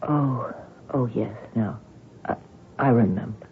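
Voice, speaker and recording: An actress playing an anguished, bitter young woman tennis champion in a halting, melodramatic radio drama style. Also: a bass voice, slight background noise. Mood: dazed, weak. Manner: halting, melodramatic delivery with repetitions. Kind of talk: vintage radio drama acting. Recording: tinny lo-fi radio broadcast, music underneath.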